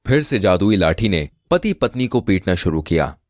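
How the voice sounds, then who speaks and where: A man narrating a scripted comic moral tale as an expressive storyteller. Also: low voice, normal speed, no echo, studio quality.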